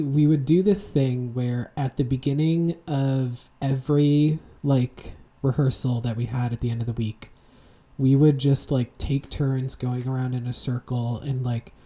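A young man in his twenties co-hosting a chatty podcast from a room at home. His voice is dark and mellow, and he rambles through animated stories full of "like" and "you know". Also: mid-range voice. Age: 20s. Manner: rambling, animated storytelling with many fillers. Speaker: podcast co-host. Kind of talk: casual podcast chat. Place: home room, seated with a heating pad.

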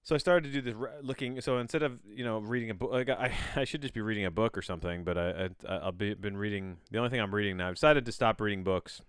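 A man hosting a solo podcast, chatting in a rambling, halting way, often backtracking mid-sentence with a bemused, self-deprecating manner. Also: lowish voice, fairly bright tone, clear, resonant tone, no room sound, clean studio sound. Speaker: podcast host.

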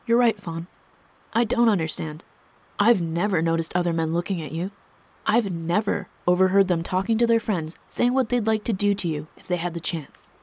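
A woman's voice: on the low side, normal speed, a little mellow, no room sound. Woman as a proud, wounded husband, indignant. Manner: intense, indignant. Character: proud, wounded husband and king, cool but hot-tempered.

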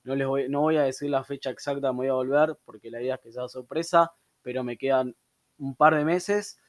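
A relaxed Argentine man hosts a casual talk, pausing often on drawn-out fillers as he tells his story. His voice sits at an ordinary middle pitch. The sound is close and dry, with no room echo. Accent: Argentine Spanish. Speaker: podcast host. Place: room with door open, people passing.

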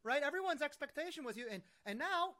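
A man's voice: extremely high pitch, expressive, quick pace, sharp tone. A man from the United States hosting an interview, emphatic and eager, often restarting his sentences. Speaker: interviewer, host. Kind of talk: conversational interview.

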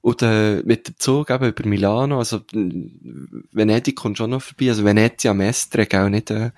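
Swiss german guy, lowish voice, chatting casually and telling stories in slangy talk, close dry sound. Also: moderate pace, darkish tone, dry recording. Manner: colloquial, anecdotal, with slang and tag questions. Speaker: podcast co-host. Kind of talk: casual chat.

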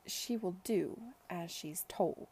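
A woman's voice: fairly low pitch, slow pace, creaky, dark tone, slightly rough, heavily acted. A woman voicing a steely old noblewoman. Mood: commanding. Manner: steely, commanding. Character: stern, imperious older noblewoman.

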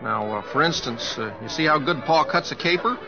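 An actor playing a folksy, humble, teasing young cowboy with a Southwestern American accent and a homespun, hesitant drawl, in old radio drama style. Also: male voice, a little high, lively intonation, a little fast, gravelly. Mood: playful, humble. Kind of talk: 1940s radio acting. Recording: muffled old radio broadcast with music.

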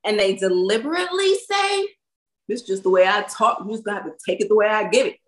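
A woman co-hosting a podcast, assertive and quick to push back in a casual debate. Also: medium pitch, animated. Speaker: podcast co-host.

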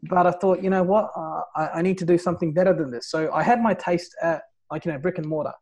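A man's voice: high-pitched, fast talker, a little mellow. A man from Nepal in his thirties, a podcast guest telling his own story in casual talk, leaning on "right?" and "like, you know".

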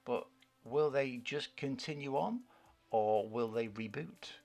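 A man's voice: medium pitch, slow, creaky, a little mellow, faint music behind. A middle-aged British man co-hosting a film show, chatting casually and giving blunt, dry opinions. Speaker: co-host of a film review show. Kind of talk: casual film news chat.

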